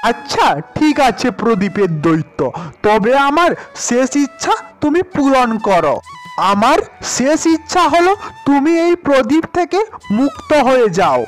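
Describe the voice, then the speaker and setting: A humble, kindly village husband, acted by a cartoon performer, talks earnestly in a high voice. His intonation rises and falls freely. He sounds agreeable and modest, with faint music behind. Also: big pitch swings, unhurried.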